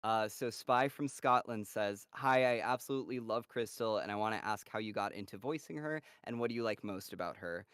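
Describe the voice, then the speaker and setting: A man's voice: lowish voice, a bit bright, smooth, no room sound, studio quality. A man hosting a live-stream Q&A, reading fan questions in an upbeat, casual way. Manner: upbeat, casual, quick to laugh. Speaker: host, moderator of the Q&A.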